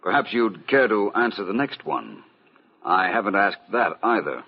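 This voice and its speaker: An actor playing an urbane, dry and politely probing detective with a husky voice in an old-time radio mystery. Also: male voice, fairly low pitch, big pitch swings, moderate pace, exaggerated voice. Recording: muffled vintage radio recording.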